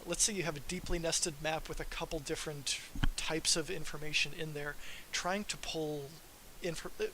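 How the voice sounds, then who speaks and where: A man giving an informal technical presentation on a livestream as a software developer, in a casual, self-deprecating manner. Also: fairly high pitch, rough recording. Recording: faint background hiss.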